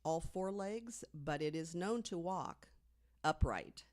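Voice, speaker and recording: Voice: mid-range voice, animated, takes it slowly, a little mellow. An American podcast co-host telling a researched story in a conversational way, partly from prepared notes.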